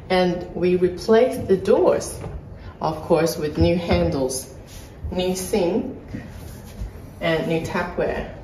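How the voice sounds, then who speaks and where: A woman's voice: lowish voice, darkish tone, distant mic, slight background noise. A woman, walking through a renovated kitchen and explaining what was done, filmed on a phone camera. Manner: explanatory, pointing things out as she walks. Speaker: property flipper showing a renovation. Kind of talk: casual home tour walkthrough. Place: bright empty renovated kitchen, indoors. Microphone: phone camera held a few feet away. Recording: echoey room, voice a bit off-mic.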